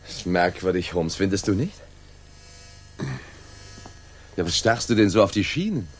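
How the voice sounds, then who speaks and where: An actor playing a detective's loyal, eager and puzzled companion in a 1960s German radio drama, questioning and slightly stammering. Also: male voice, low-pitched, big pitch swings, fast talker. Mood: puzzled, curious.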